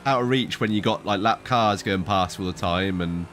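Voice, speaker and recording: A man's voice: fairly low pitch, moderate pace, fairly bright tone, a little hiss or hum. A man chatting casually while sim racing with teammates, a bit stuffy from hay fever, rambling and laughing easily.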